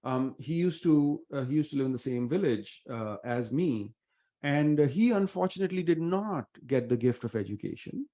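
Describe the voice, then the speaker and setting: Casting: middle-aged Indian-accented man, warm low-key tone, earnest guest answering with pauses and fillers. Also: animated. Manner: earnest, measured, many fillers. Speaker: venture investor guest. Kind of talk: reflective interview answers.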